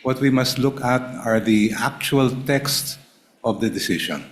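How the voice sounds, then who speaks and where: A man with a Filipino accent argues as counsel before an impeachment court. He speaks in a measured, formal way and addresses the bench as Your Honor. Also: medium pitch. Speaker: defense counsel. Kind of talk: formal legal argument.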